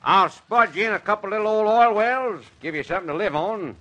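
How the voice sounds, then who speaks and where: A loud bragging Texas oilman. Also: high-pitched, brisk. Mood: boastful. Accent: Texan. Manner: boisterous drawl, laughing, folksy. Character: boastful rich Texas oilman, loud and backslapping. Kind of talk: 1940s radio acting.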